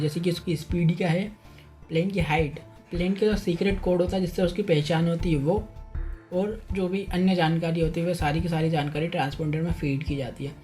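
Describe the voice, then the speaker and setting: A man's voice: a little high, steady tone, fairly quick, darkish tone. A man presenting an informal educational explainer, talking through points repetitively and addressing listeners as friends. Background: faint music.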